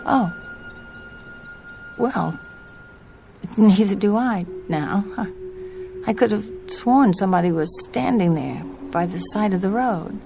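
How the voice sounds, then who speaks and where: Nervous young woman in a radio drama, polite but spooked, halting, crackly fry in her voice, music behind. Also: medium pitch, animated. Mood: uneasy, puzzled. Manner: hesitant, uneasy, polite. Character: anxious, haunted young woman driving cross-country alone.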